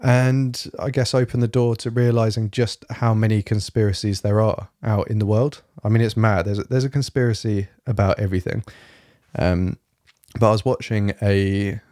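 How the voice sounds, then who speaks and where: A young man hosting his solo podcast in a rambling, fairly monotone way, with lots of fillers and a slightly rough throat. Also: on the low side, normal speed, dry recording, clean studio sound. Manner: rambling, many fillers and restarts. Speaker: podcast host. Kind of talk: casual solo podcast chat. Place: home, evening, quiet room.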